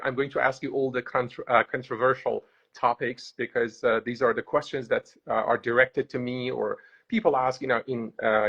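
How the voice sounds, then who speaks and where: A husky-voiced man hosts an interview, working through long questions with frequent "uh"s and restarts. His pitch sits in an ordinary middle range. The recording sounds dull and lo-fi. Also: a bit bright. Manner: hesitant, many filler sounds and restarts. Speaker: host.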